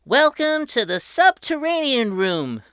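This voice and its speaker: A woman voicing an excited young boy who shows off his inventions, calling out like a showman. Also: slow, no room sound, clean studio sound, exaggerated voice. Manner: shouty and showman-like, booming announcements.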